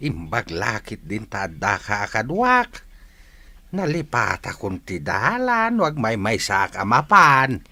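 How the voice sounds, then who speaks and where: Fond old Ilocano grandpa in radio comedy: bright, slow, exclamatory drawl. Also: male voice, fairly low pitch, wildly swooping pitch, no echo, heavily acted. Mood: fond, wistful. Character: affectionate old village grandfather, excitable. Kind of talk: Filipino Ilocano radio comedy drama acting.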